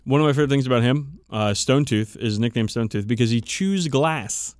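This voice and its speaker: Clear-voiced man chatting on a podcast. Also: medium pitch, brisk, dry recording. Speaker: podcast host. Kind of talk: casual chat about tabletop wargame lore.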